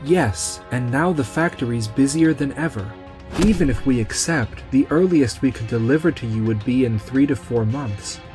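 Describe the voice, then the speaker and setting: A man's voice: mid-range voice, normal speed, darkish tone, soft background music, natural voice. A man voicing a self-assured businessman in a manga romcom dub. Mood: firm. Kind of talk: scripted manga dub acting.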